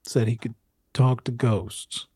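A man playing a courteous, quietly menacing film studio boss in an audio drama, questioning slowly with insinuating hesitations. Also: mid-range voice, steady tone, breathy edge, dry recording. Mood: pressing. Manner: halting, deliberate, insinuating questioning. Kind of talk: 1920s-set audio drama roleplay.

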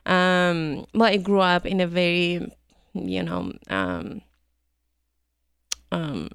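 A middle-aged woman from Iran speaking as a guest on an interview show, hesitant with many pauses and fillers and laughing easily. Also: on the low side, animated, vocal fry, clear, resonant tone, no echo, studio quality. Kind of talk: conversational interview.

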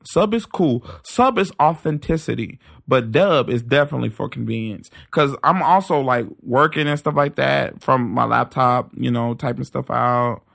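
Gravelly, bright-voiced joking man. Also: medium pitch, moderate pace, few pauses. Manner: animated, joking, playfully defensive. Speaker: podcast co-host. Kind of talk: casual podcast banter.

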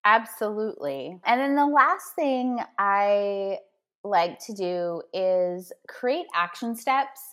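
A woman's voice: medium pitch, animated, slow pace. A woman talks as a podcast guest, coaching listeners with upbeat, motivational energy. She lays out her advice step by step, in a casual, conversational way.

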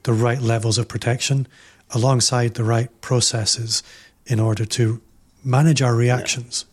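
A man hosting a podcast interview, talking in a reflective, measured way and building his points through repetition. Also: medium pitch, flat delivery. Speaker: podcast host.

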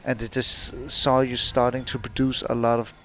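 A man's voice: medium pitch, flat delivery, moderate pace, fairly bright tone. A man interviewing a musician, asking long, friendly, rambling questions with many fillers. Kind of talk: casual interview.

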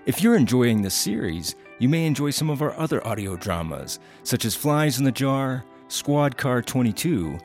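A man's voice: medium pitch, very animated, normal speed, hardly any pauses, darkish tone, faint music behind. A man who writes audio dramas, reading a scripted promotional announcement.

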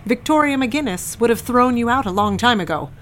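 A woman's voice: on the high side, lively intonation, fairly quick, slight background noise, close to an everyday voice. An actress playing a cold, controlling middle-aged mother in an audio drama, cutting and accusing in a melodramatic way. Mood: scornful, accusing. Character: cold, scornful, controlling mother of a grown daughter.

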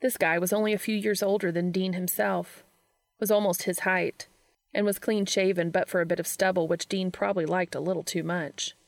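A woman narrates a story in audiobook style. Her pitch sits a little low and carries some gravelly fry. The sound is dry, with very little room in it. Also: fairly even intonation, brisk, dry recording. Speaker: fan fiction reader.

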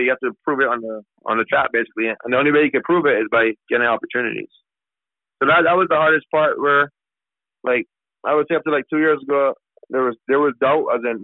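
Man, 30s, racehorse trainer, rapid casual phone interview, tinny line. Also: medium pitch, no echo. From Barbados. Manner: fast, casual, with many restarts and fillers. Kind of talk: radio interview answers.

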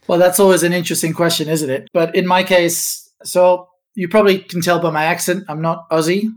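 A middle-aged man, born in the Netherlands and raised in Spain, telling his background as a guest on a podcast in a relaxed, reflective way. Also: high-pitched, a little fast. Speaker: guest, musicologist. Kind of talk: podcast interview.

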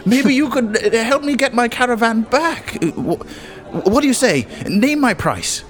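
A man's voice: extremely high pitch, lively intonation, a little slow, voice pushed up. A man voicing a flustered, cowardly but boastful goblin merchant in a tabletop game, stammering and wheedling like a salesman. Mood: upset, pleading. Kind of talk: tabletop actual play character acting.

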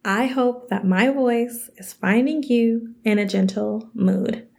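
A young woman talks casually to her listeners as a podcast host and coach. Her voice sits a little high and is a bit scratchy today. Faint music plays under her. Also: slow pace, vocal fry. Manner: chatty, animated, quotes others in higher voices. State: slightly scratchy voice. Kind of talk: casual solo podcast talk.

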